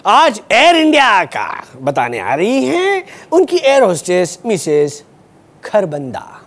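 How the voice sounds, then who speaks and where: A man's voice: high-pitched, huge pitch swings, takes it slowly. A man hosting a satirical news comedy show, mocking the news playfully and chuckling at his own jokes. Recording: loud studio audience and music. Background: audience laughter and music.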